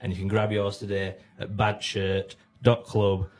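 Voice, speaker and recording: A man's voice: fairly low pitch, monotone, a little slow, a little mellow. A man, a band member reading out a sponsor message. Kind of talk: sponsor read.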